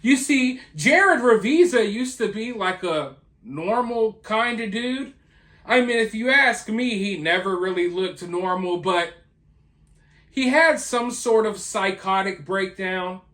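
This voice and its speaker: Slow-talking true crime host in an echoey room. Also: mid-range voice, lively intonation, fairly bright tone. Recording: roomy, echoing voice.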